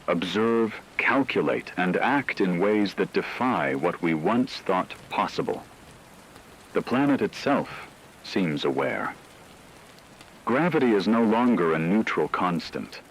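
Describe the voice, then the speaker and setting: A man delivering dramatic scripted narration in a grave, measured, portentous way with deliberate pauses. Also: lowish voice, expressive, a little hiss or hum, poor audio. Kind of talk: dramatic scripted narration in the manner of a radio story.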